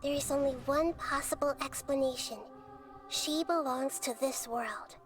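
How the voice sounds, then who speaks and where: Video game casting: young girl goddess of wisdom, high-pitched, composed, measured, articulate, over music. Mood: calm, grave. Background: background music.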